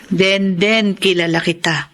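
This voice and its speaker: Actress as filipino wife and big sister, caring and responsible, radio drama style. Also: female voice, mid-range voice, steady tone, measured pace, a bit bright, slight background noise, close to an everyday voice. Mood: firm, reproachful.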